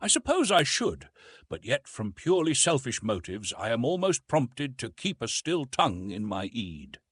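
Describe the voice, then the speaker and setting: A man voicing a young English lord, a formal and protective gentleman husband, in an audiobook story. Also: mid-range voice, huge pitch swings, normal speed, husky, no echo, studio quality. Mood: earnest.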